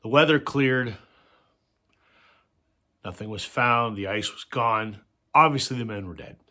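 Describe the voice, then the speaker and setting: Male record-channel host, slightly hoarse, bright edgy tone, casual storytelling, lots of ums and uhs. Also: mid-range voice, unhurried. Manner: conversational storytelling with frequent fillers. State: hoarse from a cold. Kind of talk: casual talk to camera.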